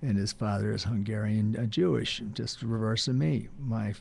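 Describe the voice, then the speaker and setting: A man's voice: lowish voice, normal speed, vocal fry, darkish tone, husky. An older man hosting a radio talk show, rambling through an introduction with many fillers and restarts.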